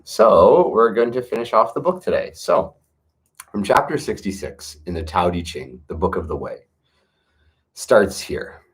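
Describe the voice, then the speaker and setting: A man with a fairly low voice reads slow, solemn verses aloud. He speaks in a measured, earnest way and leans into key words for emphasis. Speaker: reader of a classic text. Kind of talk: solemn reading aloud with asides.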